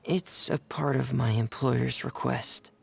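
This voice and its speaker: A man playing a shy, earnest, soft-spoken teenage boy mechanic in a video game, reserved and polite. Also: medium pitch, fairly even intonation, takes it slowly, vocal fry, a little hiss or hum. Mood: calm, helpful.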